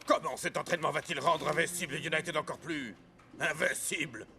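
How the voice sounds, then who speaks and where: A man's voice: fairly high pitch, normal speed, gravelly, slight background noise. A man voicing a theatrical, emphatic cartoon football character. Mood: scornful. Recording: loud music behind the voice.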